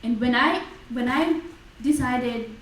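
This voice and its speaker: Young woman, student candidate, a bit high-pitched; measured and direct, distant in an echoing room. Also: fairly bright tone, slight background noise. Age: young adult. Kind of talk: personal statement in debate. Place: university lecture hall. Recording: echoey lecture hall, distant mic.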